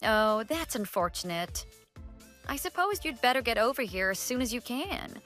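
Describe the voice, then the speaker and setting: A woman's voice: on the high side, very animated, moderate pace, fairly bright tone. Woman playing a curt, unimpressed professional woman. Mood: businesslike. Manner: cool, dismissive, businesslike. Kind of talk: radio drama dialogue. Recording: voice over background music.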